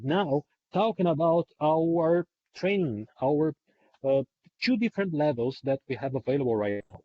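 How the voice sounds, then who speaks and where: A man's voice: on the high side, a little mellow, breathy edge. A man who is a non-native English speaker presents a training webinar, repeating and rephrasing points for emphasis. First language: not English. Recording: dull lo-fi online webinar audio.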